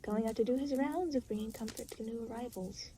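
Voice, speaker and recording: A woman's voice: a little high, fairly quick, mellow, slight background noise, close to an everyday voice. A woman playing an aloof, exasperated demon in an audio roleplay, talking to the listener in a dry, sarcastic, weary way. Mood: wry, defensive. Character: aloof, exasperated demon, reluctantly babysitting a new soul.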